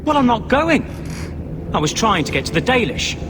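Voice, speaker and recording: A man's voice: very high-pitched, very animated, moderate pace, background noise. An actor playing a young male elf mage on the run, bitter, wounded and indignant, in a video game. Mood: bitter, defiant.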